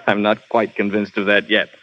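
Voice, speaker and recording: An actor playing a calm, wry gentleman drawn into a spy plot in a 1930s radio drama. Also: fairly low pitch, normal speed, lightly acted. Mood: wry, soothing. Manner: easygoing and wry. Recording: dull old radio broadcast.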